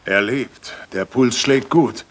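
An actor playing a detective's loyal companion, an earnest and good-natured doctor, in a 1980s German radio drama. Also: male voice, mid-range voice, slow pace. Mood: concerned. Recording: slightly noisy retro radio recording.

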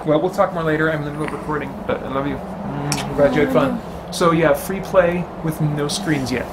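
Middle-aged dad chatting casually, noisy room. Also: male voice, fairly high pitch, fairly even intonation, brisk. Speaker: gaming video host, father. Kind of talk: casual family chat. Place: home room with shelves, indoors.

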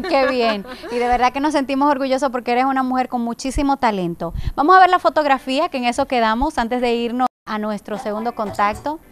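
A woman hosting a local TV talk show, reading sponsor thanks and chatting with a guest in an upbeat, friendly way. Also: a little high. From Dominican Republic. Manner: upbeat, friendly, with filler pauses. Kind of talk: chatty interview and live sponsor plugs.

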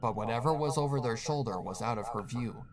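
A man's voice: medium pitch, brisk, a crowd in the background. A man reading a scripted horror story as the narrator. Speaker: fan fiction narrator.

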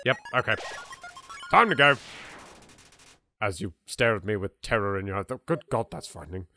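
A man's voice: lowish voice, lively intonation, moderate pace, pushed and bright. A man with an English accent hosting a let's play, wry and playful. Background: game music.

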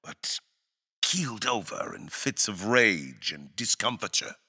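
Man acting a grave, deep-voiced fantasy king. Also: expressive, bright, forceful tone, slightly rough, full character voice. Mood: haunted, intense. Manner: theatrical, deliberate, formal diction. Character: grave, imperious fantasy king, eloquent and brooding. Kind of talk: dramatic fantasy audio drama acting.

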